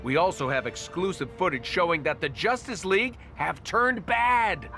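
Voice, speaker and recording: A slick announcer in a cartoonish game. The voice is highish and bright, with animated, overdramatic broadcast emphasis. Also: male voice, normal speed, soft background music, full character voice. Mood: dramatic. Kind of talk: animated video game cutscene acting.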